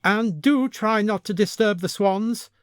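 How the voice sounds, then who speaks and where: A man's voice: sits high, a little slow, smooth, no room sound, clean studio sound, pitched above the performer's own voice. A man voicing a stern old village woman in an audio story, a matter-of-fact, imperious and coldly menacing ringleader. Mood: cold command.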